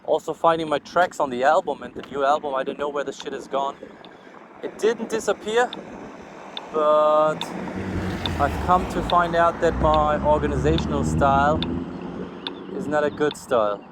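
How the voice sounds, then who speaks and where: Man, German accent, slightly high voice, sleep-deprived, frank sweary casual monologue, walking outside, faint traffic noise. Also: moderate pace, long pauses. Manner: frank, swearing, self-deprecating. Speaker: vlogger. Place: outdoors, walking along a street. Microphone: phone recording while walking. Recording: outdoor street and traffic noise. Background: ambient outdoor noise with passing vehicles.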